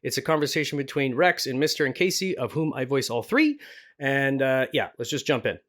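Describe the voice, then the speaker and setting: A man's voice: medium pitch, sing-song, fairly quick, fairly bright tone. A man hosting a tutorial as a voice actor, talking casually and informally with some self-deprecating humour. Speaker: host, voice actor giving a tutorial. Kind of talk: casual instructional talk.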